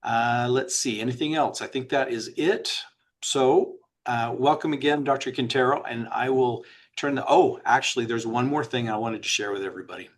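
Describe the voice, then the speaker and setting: A man's voice: medium pitch, normal speed. A man hosts a webinar and introduces the speaker. He sounds upbeat and chatty, with a few fillers along the way. He talks through a computer microphone on a video call. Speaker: webinar host. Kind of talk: hosting a webinar.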